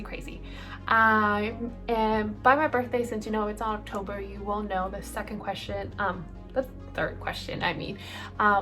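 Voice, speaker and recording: A woman in her late twenties, from El Salvador, chatting casually through a get-ready-with-me Q&A, rambling with lots of ums and likes. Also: mid-range voice. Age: late 20s. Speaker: beauty vlogger.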